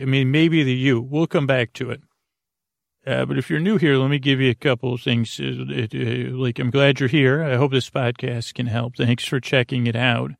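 Guy rambling on a sleep podcast, lots of vocal fry, hesitant, trips over words, really dry close mic. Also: medium pitch, no room sound. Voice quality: creaky. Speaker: podcast host.

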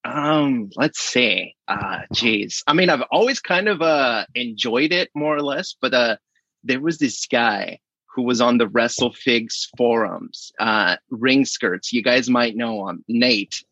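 A man's voice: medium pitch, expressive, slow, pushed and bright, dry recording, dull audio. A man in his late thirties, a podcast guest, talking casually and rambling a bit, with many fillers. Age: late 30s. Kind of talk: casual remote conversation.

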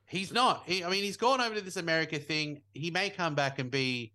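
Brief: young Aussie male podcast co-host, bright tone, jokey and animated. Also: on the high side, fairly quick. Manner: jokey, animated, laughs often. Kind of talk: casual sports chat.

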